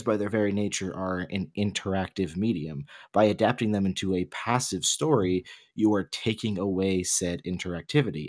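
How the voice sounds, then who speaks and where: A man co-hosting a casual podcast discussion, arguing and explaining his points, his voice a bit off from being sick. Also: deep voice. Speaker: podcast co-host.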